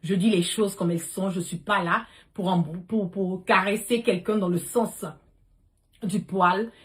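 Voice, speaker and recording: A woman's voice: medium pitch, steady tone, unhurried, a bit bright. A woman delivering an impassioned political livestream rant, emphatic, repetitive and confrontational. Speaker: livestream host.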